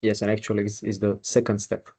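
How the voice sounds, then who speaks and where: A man's voice: lowish voice, completely flat delivery, fast talker, warm tone, husky. A man moderating a webinar over a video call, politely reading out the audience questions.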